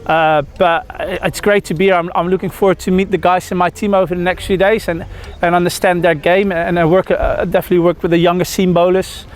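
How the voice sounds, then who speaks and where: A man's voice: high voice, flat delivery, brisk, hardly any pauses. A man in his thirties from the Netherlands, a cricketer giving a press interview outdoors, hesitant with many fillers and restarts.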